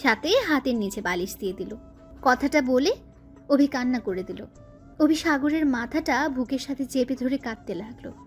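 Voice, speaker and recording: A woman's voice: high voice, animated, normal speed, faint music behind. A woman reading scripted narration as the storyteller of a romantic audio story.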